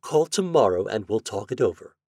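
A man voicing a spoiled, imperious rich young woman in a read-aloud storybook, pitching his voice up and speaking in loud, demanding tones. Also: animated, a little fast, slightly rough, no echo, studio quality. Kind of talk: storybook reading.

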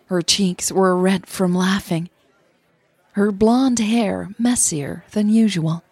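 A native Dutch-speaking woman with a husky voice, narrating a scripted podcast in a measured way. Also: medium pitch, a little mellow, no echo, some chatter behind. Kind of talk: scripted audio story narration.

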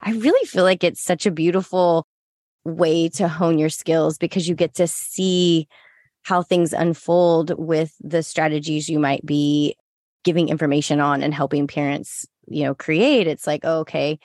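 A woman's voice: low-pitched, steady tone, a little slow, darkish tone, no echo, studio quality. An American woman co-hosting a podcast in casual conversation, chatty and given to explaining things as she goes. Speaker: podcast co-host and lactation consultant.

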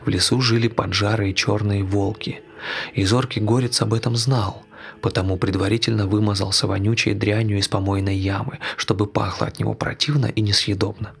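A man tells a scripted dark fable. His voice is low and distinctly gravelly, with a measured, literary storyteller's delivery. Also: flat delivery.

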